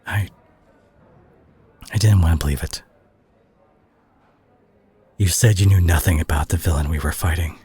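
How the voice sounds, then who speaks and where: A man acting a concerned superhero who confronts a reckless friend in a dramatic audio roleplay, speaking earnestly and haltingly with a husky, breathy voice. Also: deep voice, darkish tone. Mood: somber, pained.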